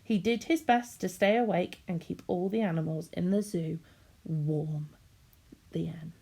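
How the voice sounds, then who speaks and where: A woman's voice: on the low side, steady tone, a little slow, vocal fry, warm tone, slightly rough. A woman reading a children's story expressively, in the manner of a teacher speaking to young listeners.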